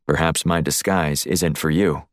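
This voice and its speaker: Man playing a deep-voiced, wry secret agent. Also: steady tone, a little slow, no room sound, studio quality. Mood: wry, defiant. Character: tall, cool, wry male secret agent. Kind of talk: audiobook character voice.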